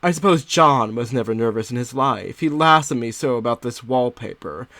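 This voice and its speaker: A man's voice: medium pitch, lively intonation, moderate pace, a bit bright, no room sound. Anxious young wife, acted out by a man, fretful storyteller. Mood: resigned, nervous. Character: anxious confined young wife, a 19th-century diarist. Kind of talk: dramatic first-person audiobook reading.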